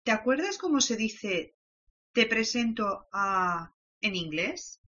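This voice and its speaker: A woman from Valencia, presenting a language course and giving learners clear instructions. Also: fairly high pitch, very animated, unhurried, pushed and bright. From Valencia, Spain. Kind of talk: instructional lesson prompts. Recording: dull lo-fi audio.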